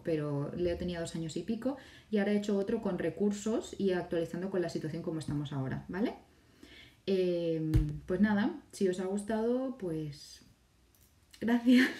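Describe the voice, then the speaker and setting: A woman in her thirties with a Catalan-Spanish accent chats through viewer questions in an easy, talkative way. Her voice sits low and mellow. She is recorded at home on a phone or webcam, a little back from the mic, and the room echoes around her. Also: recorded from a distance. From Catalonia, Spain. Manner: chatty, reads viewer questions aloud and answers them. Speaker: teacher and blogger hosting a live stream. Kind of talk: casual live-stream Q&A. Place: home room with bookshelves, indoors. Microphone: phone or webcam in front of her.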